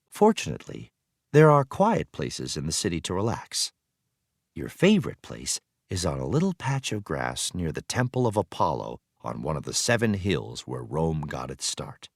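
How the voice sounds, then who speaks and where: Male educational narrator, lowish voice in a dry recording, expressive read-aloud delivery with storytelling emphasis. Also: no echo. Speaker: teacher reading aloud.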